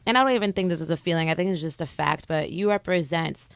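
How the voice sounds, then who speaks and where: A woman's voice: low-pitched, fast, vocal fry, dry recording, clean studio sound. A woman co-hosting a radio interview, asking earnest and playful questions. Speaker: co-host.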